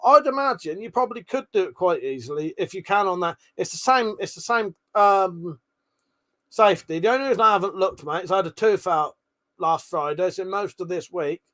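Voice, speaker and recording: A man with a British accent and a voice a little on the high side hosts a casual livestream. He rambles informally, swears freely, and moves between reading comments aloud and replying to them. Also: lively intonation, fairly quick, a bit bright. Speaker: livestream host.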